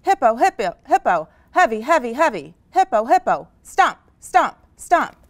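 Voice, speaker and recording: Woman playing heavy hippo, eager, loud, emphatic shouting, high-pushed pitch, children's story. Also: very animated, measured pace, no room sound.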